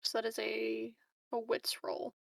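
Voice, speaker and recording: A woman's voice: a little high, completely flat delivery, unhurried, creaky, dry recording, distant mic. A woman chatting casually as a player in a tabletop roleplaying game.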